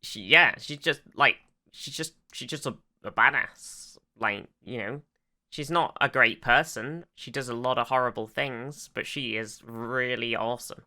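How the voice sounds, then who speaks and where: A podcast co-host with a bright, forceful voice at a medium pitch talks casually about television. The delivery is that of an eager fan, halting and repetitive, often restarting a thought midway. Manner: hesitant, often repeats words and restarts. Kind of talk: casual fan discussion of a TV show.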